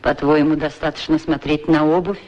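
A man acting a pair of worn talking shoes in a 1960s radio drama recording. The voice is fairly high for a male, frank and confiding, with an inquisitive, familiar way of speaking. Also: little pitch movement, normal speed, a little mellow, muffled recording, natural voice. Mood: reproachful.

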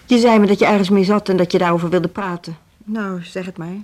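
A woman with a Netherlandic Dutch accent playing a firm, put-upon music school head in a radio drama, brisk and argumentative. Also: mid-range voice, steady tone, a little mellow, lightly acted. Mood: businesslike. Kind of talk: naturalistic radio play dialogue.

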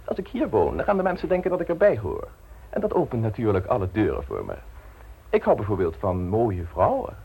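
An actor playing a suave, insolent blackmailer in a radio play, mockingly polite and smoothly domineering. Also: male voice, fairly low pitch, huge pitch swings, a little fast, warm tone, heavily acted, rough recording. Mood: smug, teasing. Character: suave, insolent male blackmailer installing himself as private secretary.

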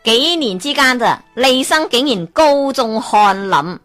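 A woman with a Hong Kong Cantonese accent tells a folk tale in a lively way. She often turns to the listener with rhetorical questions. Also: on the high side, slow, soft background music. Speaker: storyteller.

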